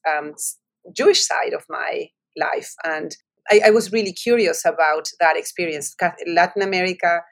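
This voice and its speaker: A woman in her forties from Argentina with a Spanish accent, an author answering interview questions in reflective, winding storytelling full of restarts. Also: fairly low pitch.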